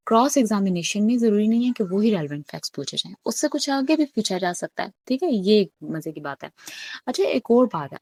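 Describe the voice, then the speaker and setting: A woman gives an explanatory lecture in a medium-pitched voice. She is didactic, often asking a question, answering it and checking that learners follow. The recording is dry, with hardly any room sound. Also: darkish tone, dry recording. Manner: didactic, poses questions then answers them, frequent check-ins; mixes in English legal terms. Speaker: law teacher.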